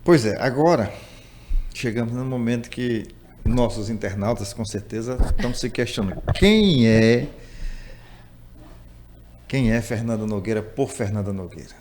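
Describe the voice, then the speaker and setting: A man's voice: medium pitch, normal speed, long pauses, darkish tone. Prompting male interview host. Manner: prompting, finishes the guest's thoughts, ends with "né?". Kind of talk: conversational interview.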